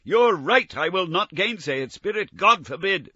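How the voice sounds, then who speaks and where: A man's voice: mid-range voice, lively intonation, unhurried, pushed and bright, husky, no room sound, muffled recording, pitched above the performer's own voice, exaggerated voice. A man reading an audiobook, voicing an elderly male miser who exclaims with strong feeling. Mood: excited. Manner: exclaiming, emotional.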